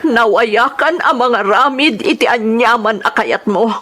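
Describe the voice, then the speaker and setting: An actress playing a wronged, resolute wife in an Ilocano radio drama, with an Ilocano accent. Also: female voice, fairly high pitch, unhurried, a bit bright. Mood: hurt, accusing. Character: wronged, resolute wife confronting her unfaithful husband.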